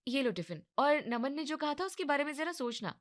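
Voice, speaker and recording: A practical young wife voiced by a woman with a clearly raised pitch. She is coaxing and matter-of-fact, speaking as in an animated domestic moral tale. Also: a little fast. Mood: concerned. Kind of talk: Hindi animated moral story dialogue.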